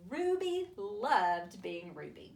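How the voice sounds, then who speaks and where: A woman reading a children's storybook aloud to families, with expressive, deliberate delivery and drawn-out emphasis. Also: medium pitch. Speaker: school superintendent reading a picture book aloud to families. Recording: roomy, distant mic with faint noise.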